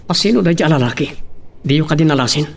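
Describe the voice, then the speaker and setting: A man's voice: a little high, lively intonation, fast, gravelly. A man acting a concerned grown son in an Ilocano audio drama. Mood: concerned, curious. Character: concerned grown son questioning his father.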